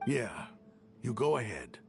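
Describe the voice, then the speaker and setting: A hearty desert mercenary from a video game. He speaks in a gravelly, animated voice with grand, flowery turns, and plucked music plays clearly underneath. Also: mid-range voice, slow pace, full character voice. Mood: friendly. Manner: grandiose, expressive. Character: hearty male desert mercenary, warm, theatrical, flowery-spoken.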